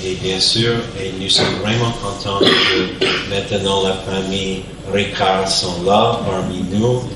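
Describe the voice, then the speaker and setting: Hesitant pastor in an echoey church. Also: male voice, sits low, fairly even intonation, takes it slowly, very noisy. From Canada, New Brunswick. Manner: hesitant, searching for words. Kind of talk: church service introduction. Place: church sanctuary. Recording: echoey church hall from far off.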